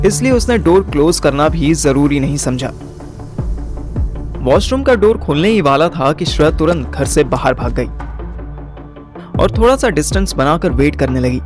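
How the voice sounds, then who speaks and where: A man with an Indian accent narrating a scripted audio story in a wry, colloquial way. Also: fairly high pitch. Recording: music behind the voice.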